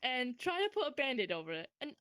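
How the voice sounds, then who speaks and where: A young woman casually telling a personal story to a friend in a rambling, animated way, swearing freely. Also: high-pitched, fast, no echo.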